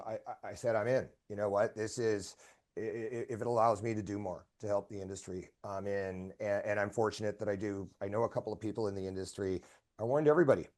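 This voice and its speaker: A man who is a guest on a video-call interview, hoarse today, talking passionately and often repeating his words. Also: on the low side. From Canada. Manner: passionate, energetic, repeats himself. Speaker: guest, association president. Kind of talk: casual interview chat.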